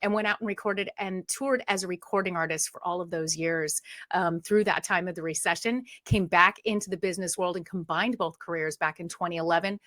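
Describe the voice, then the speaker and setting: A woman's voice: lowish voice, fairly even intonation, a little fast, few pauses, fairly bright tone, no room sound. A woman guest on a webinar, bubbly and enthusiastic as she describes her career. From USA. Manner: bubbly, enthusiastic, laughs often. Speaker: guest author.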